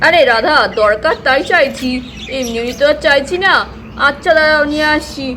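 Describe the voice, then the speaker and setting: A doting village husband fusses over his wife in a doll puppet cartoon, as a woman voices him. The voice sits high and bright for a man, with a faint hiss of noise behind it. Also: fairly quick. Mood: fussing. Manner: fussy, protective, repeats himself. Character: caring village husband, Buchu's father. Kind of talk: doll puppet show voice acting.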